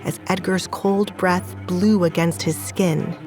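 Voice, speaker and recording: A woman narrating a romance audio drama in a measured, even storytelling manner. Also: lowish voice, soft background music.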